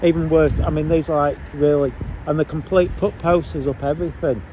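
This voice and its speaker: A man's voice: fairly high pitch, fairly even intonation, brisk, mellow, muffled recording. Chilly man vlogging with a cold. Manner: chatty, mutters to himself. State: has a cold. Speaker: vlogger filming outdoors. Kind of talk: rambling casual chat to camera. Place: outdoors on a cold street. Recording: outdoor traffic noise.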